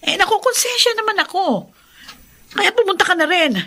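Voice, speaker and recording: An actress playing a resentful, sharp-tongued middle-aged mother who scolds bluntly in a Filipino radio drama. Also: extremely high pitch, lively intonation, normal speed, heavily acted. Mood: irritated, scornful.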